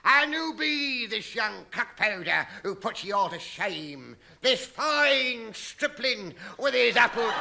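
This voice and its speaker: An actor playing a bullying old sea captain in a 1960s radio comedy, with a theatrical growl and drawn-out bellowing. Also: male voice, sits high, gravelly, noisy background, heavily acted. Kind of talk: 1960s BBC radio comedy sketch acting.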